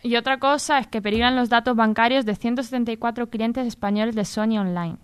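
Clear-voiced woman reading radio news. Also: on the high side, steady tone, fast. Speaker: new news contributor on a radio show. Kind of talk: reading news amid casual banter.

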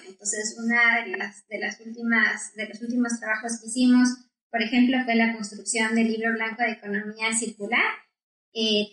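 A woman's voice: fairly high pitch, fairly bright tone. Quito woman, roomy and distant. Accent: Quito Ecuadorian Spanish. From Ecuador. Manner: thoughtful, frequent "eh" fillers and self-corrections. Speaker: guest, environmental engineering lecturer. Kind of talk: conversational interview answers.

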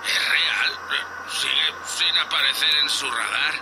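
A man's voice: on the high side, slow pace, over music, poor audio. A man with a Castilian Spanish accent playing a nervous, deferential young spaceship crewman in a sci-fi audio drama. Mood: puzzled, nervous. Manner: hesitant, anxious, deferential, full of questions.

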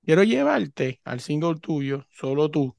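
Chatty Puerto Rican-born podcast host. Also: male voice, on the high side, big pitch swings, unhurried, vocal fry, a little mellow, no echo, studio quality. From Puerto Rico. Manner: chatty, loaded with filler "o sea". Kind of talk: conversational interview. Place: Virginia.

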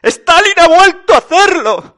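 Storybook grouch, disgusted and exclamatory, forceful with big pitch swings a man performs him. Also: big pitch swings, brisk, exaggerated voice. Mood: horrified. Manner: exclamatory, shouting.

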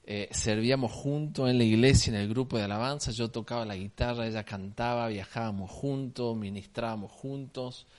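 A man in his thirties from Salta, Argentina, with a Salta accent, chatting as an interview guest in a slow, drawn-out tonada with many repetitions. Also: medium pitch, a little mellow. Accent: Salta Argentine Spanish. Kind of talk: casual interview chat.

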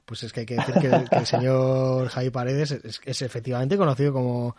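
A man's voice: mid-range voice, flat delivery, fairly quick, a crowd in the background. A man with an accent from Spain, hosting a podcast in a chatty, joking way. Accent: Spanish from Spain. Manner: jokey, chatty, laughs often. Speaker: podcast host. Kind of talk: casual podcast chat.

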